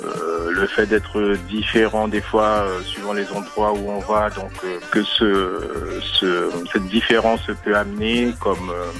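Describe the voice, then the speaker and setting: A man's voice: lowish voice, flat delivery, takes it slowly, creaky, hardly any pauses. A man from French Guiana answering interview questions as a reggae musician, hesitant, with many fillers and repeated words. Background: music playing under the voice.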